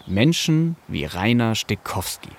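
A man's voice: fairly low pitch, big pitch swings, a little slow, creaky. A man narrating a scripted podcast as host, in a casual, personal storytelling manner.

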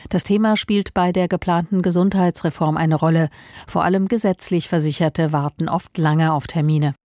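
A female correspondent reading a news report in a calm, scripted way. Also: on the low side, moderate pace, no echo, studio quality. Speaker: radio correspondent.

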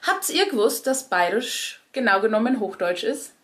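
Young Bavarian woman, cabaret artist type, dry humour, explains and addresses listeners directly, a bit high. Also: big pitch swings, normal speed, a bit bright. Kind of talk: scripted radio segment talking to listeners.

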